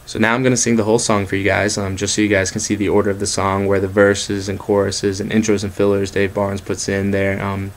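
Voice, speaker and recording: A man with a bass voice teaching a guitar lesson, explaining chords in a quick, relaxed way with fillers. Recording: guitar playing behind. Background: acoustic guitar.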